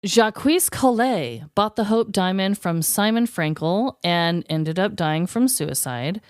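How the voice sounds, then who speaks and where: A woman with a smooth, clear voice tells a story on a podcast in loose, slangy language. Her pitch moves around in an animated way, and the sound is dry and close. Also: unhurried, no room sound. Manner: informal, slangy, conversational. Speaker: podcast co-host.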